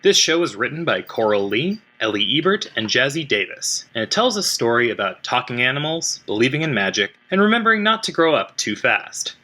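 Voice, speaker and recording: A man's voice: medium pitch, big pitch swings, brisk, hardly any pauses, bright, forceful tone. A man reading a scripted introduction as a show's announcer.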